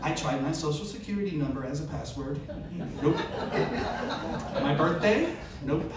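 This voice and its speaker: A man's voice: a little high, normal speed, over a noisy crowd. Echoey man reading a story aloud. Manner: performed delivery, acting out dialogue and self-questions. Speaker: reader at a live storytelling event. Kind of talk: reading a humorous first-person story aloud to an audience. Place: live event venue. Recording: echoey, noisy room recorded from far off.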